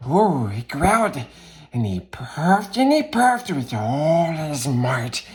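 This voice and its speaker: Casting: wretched gleeful cave creature reading a storybook, raspy, extremely animated pitch, slow and drawn out. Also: male voice. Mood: low, muttering. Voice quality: raspy, strained, swooping between growl and squeal. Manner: muttering asides to self, exclaiming, distorted pet words. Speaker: reader, host of the series. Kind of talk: theatrical children's storybook reading in character.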